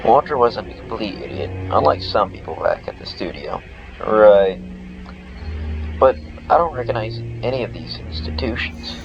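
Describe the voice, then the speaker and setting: A man's voice: lowish voice, lively intonation, normal speed, gravelly, muffled recording. A man reading the dialogue of a game aloud as he plays, with game music behind him. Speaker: let's player.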